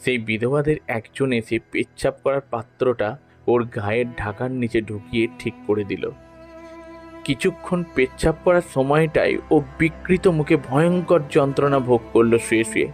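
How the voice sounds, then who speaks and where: A man's voice: medium pitch, normal speed. Man narrating a story over music. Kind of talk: dramatic first-person literary narration. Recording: music behind the voice.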